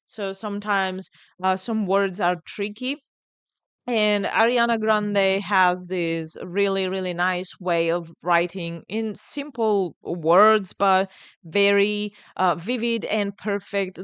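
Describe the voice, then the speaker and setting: A woman with an Italian accent hosts a music reaction in a clean, smooth voice. She speaks casually and with enthusiasm, repeating words as she works out her thoughts. The sound is dry and close. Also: mid-range voice, steady tone, fairly bright tone, no room sound. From Italy. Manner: enthusiastic, halting, repeats words while thinking. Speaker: music reaction video host.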